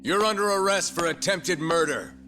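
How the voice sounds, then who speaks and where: An actor in an English game dub playing an urgent, forceful policeman barking orders. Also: sits high, moderate pace, natural voice. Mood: urgent, commanding. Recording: voice over loud music.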